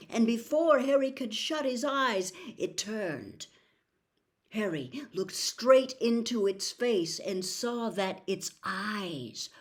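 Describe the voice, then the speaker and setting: A woman reading a storybook aloud as dramatic, suspenseful narration with deliberate, theatrical phrasing. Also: mid-range voice. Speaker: audiobook reader.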